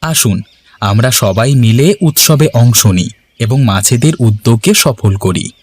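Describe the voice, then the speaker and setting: A man playing an earnest, grateful villager in a cartoon, talking in formal, measured speeches. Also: medium pitch, expressive, a little mellow. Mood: appreciative. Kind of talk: scripted cartoon dialogue.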